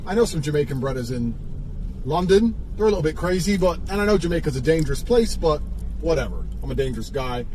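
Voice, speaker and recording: A man's voice: a little high, animated, fast, darkish tone, a little hiss or hum, rough recording. Swaggering man telling a crude story. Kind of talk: casual anecdote monologue.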